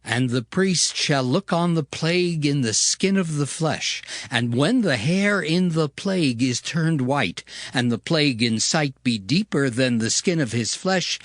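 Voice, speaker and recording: A man voicing God, slow and solemn. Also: mid-range voice, no echo, clean studio sound, natural voice. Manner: slow, measured, solemn recitation. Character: the Lord giving laws, solemn and commanding. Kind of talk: dramatized scripture reading. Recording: music playing underneath. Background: music.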